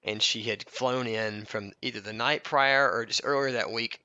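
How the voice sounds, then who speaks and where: A man's voice: mid-range voice, steady tone, normal speed, bright, forceful tone, no room sound, muffled recording. A man co-hosting a comedy podcast, mixing mock-biography reading with joking, irreverent asides and prone to breaking into laughter. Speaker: podcast co-host.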